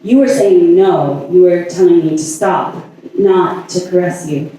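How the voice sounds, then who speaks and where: A man performing a staged monologue as a lovelorn woman haunted by obsessive passion, halting and deliberate with long pauses. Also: high-pitched, dark tone. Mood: haunted, confessional. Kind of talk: staged dramatic monologue reading. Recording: echoey, distant stage recording.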